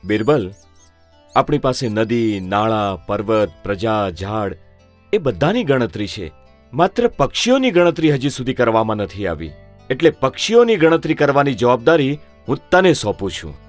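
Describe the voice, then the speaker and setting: A man's voice: mid-range voice, sing-song, moderate pace, soft background music, lightly acted. A man voicing Emperor Akbar, a stately, curious ruler speaking in formal courtly words in a cartoon story.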